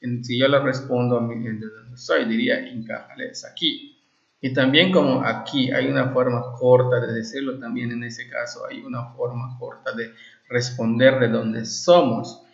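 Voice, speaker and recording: A man's voice: medium pitch, little pitch movement, moderate pace, vocal fry. A man teaches a language class informally, explaining phrases and often checking that listeners follow. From Valladolid, Yucatán, Mexico. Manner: explanatory, frequent check-in questions. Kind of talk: informal classroom lesson with translated examples. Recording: dull, lo-fi, echoey room.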